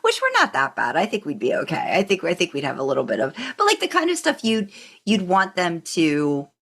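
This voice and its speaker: A woman's voice: on the low side, huge pitch swings, brisk, fairly bright tone. A woman co-hosting a casual fan podcast, rambling and often repeating her phrases. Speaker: podcast co-host.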